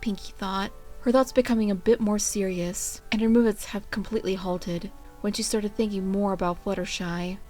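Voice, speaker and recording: A woman narrates a story in an expressive storybook style. Her voice sits at a medium pitch, with music playing behind it. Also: normal speed, few pauses. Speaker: fan fiction reader and narrator. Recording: music under the voice.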